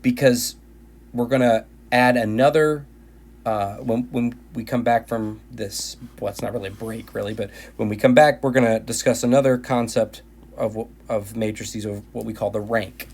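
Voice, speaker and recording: A man with a middle-pitched voice that has worn thin and hoarse from recording lecture after lecture. He walks through a math lesson patiently, often restarting and repeating his phrases. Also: fairly even intonation, moderate pace, darkish tone. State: voice tired from recording many videos. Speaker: math teacher.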